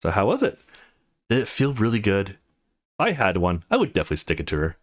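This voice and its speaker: A man's voice: fairly low pitch, wildly swooping pitch, quick pace. A man voicing a brash, foul-mouthed, teasing young woman who is a loyal friend in a visual novel game. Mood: teasing, frank.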